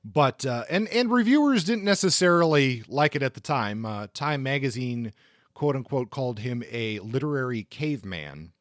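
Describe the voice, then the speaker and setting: A man's voice: mid-range voice, lively intonation, normal speed, no room sound, clean studio sound. A man co-hosting a podcast, talking through a literary biography from notes in an informal, conversational way. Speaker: podcast co-host. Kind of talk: semi-scripted literary biography talk.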